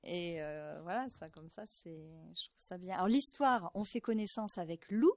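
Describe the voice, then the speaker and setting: A woman's voice: on the low side, moderate pace, darkish tone, no echo, studio quality. A woman hosting a radio book interview, hesitant and full of fillers. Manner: hesitant, many fillers and restarts. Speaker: radio host.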